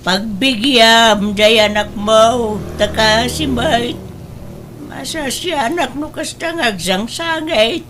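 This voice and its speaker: A woman's voice: fairly high pitch, slow pace, a bit bright, slight background noise. A woman playing a concerned older mother in a radio drama, speaking in an earnest, urging way. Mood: urging, worried. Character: concerned older mother interceding for her daughter. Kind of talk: Ilocano radio drama acting.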